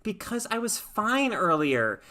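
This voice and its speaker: A woman's voice: mid-range voice, sing-song, a little slow, pushed and bright, voice pushed up. A woman voicing a reluctant, sullen teenage girl with a whiny, pleading manner in a story reading. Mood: defensive, unwell. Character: reluctant, sullen schoolgirl of about fourteen.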